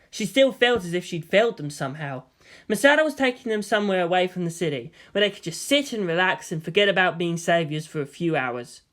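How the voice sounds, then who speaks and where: A narrator giving a dramatic scripted reading of a story. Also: medium pitch.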